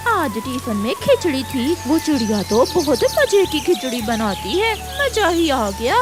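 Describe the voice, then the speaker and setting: A woman's voice: high voice, big pitch swings, unhurried, loud music behind the voice. A woman voicing a greedy, mocking female crow with gleeful manner in a cartoon. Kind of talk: animated moral story character acting.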